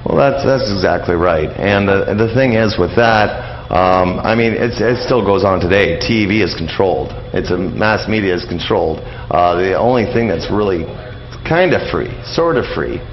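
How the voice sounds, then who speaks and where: A man's voice: low voice, expressive, normal speed, a little hiss or hum. A man speaking as a guest in a casual radio interview, explaining things in an informal, rambling way. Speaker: guest interviewee, old-time radio enthusiast. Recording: dull lo-fi radio audio, crowd murmur.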